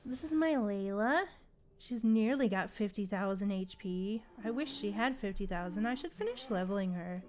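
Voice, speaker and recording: A woman livestreamer chatting casually in a slangy, animated way while gaming. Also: mid-range voice, moderate pace. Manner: chatty, animated retellings with frequent slangy exclamations.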